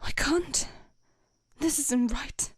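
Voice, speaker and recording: A woman's voice: high-pitched, very slow, no room sound. A woman playing a devoted, lovestruck knight, shy and conflicted, in an intimate romantic audio roleplay, speaking hesitantly and tenderly. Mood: pained, conflicted. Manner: hesitant, tender, breathy whispers at times.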